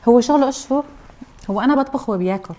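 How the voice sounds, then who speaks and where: Fast-talking Aleppo Syrian woman, mellow tone. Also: a little high, a little hiss or hum. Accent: Aleppo Syrian Arabic. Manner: chatty, explains at length to her audience. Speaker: mother and cooking vlogger. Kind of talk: casual chat to viewers.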